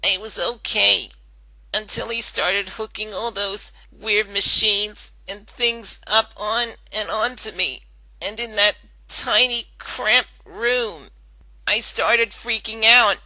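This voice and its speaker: Slow, hesitant, scared young pony played by a woman. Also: medium pitch, pushed and bright, dry recording, heavily acted, poor audio. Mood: anxious, reluctant. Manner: hesitant, stammering. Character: scared young female pony, anxious and reluctant about surgery. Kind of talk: dramatic fan-fiction reading.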